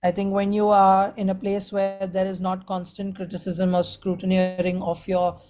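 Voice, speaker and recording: A man's voice: sits high, monotone, normal speed, darkish tone, faint music behind. A man giving conversational answers as a musician being interviewed. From India.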